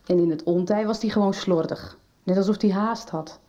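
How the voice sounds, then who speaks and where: Actress as mellow-voiced, frank female teacher, slightly muffled. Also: mid-range voice, normal speed, far from the mic, lightly acted. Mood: frank, thoughtful. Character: mild, frank woman school teacher advising a pupil on books. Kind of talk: Dutch radio soap acting.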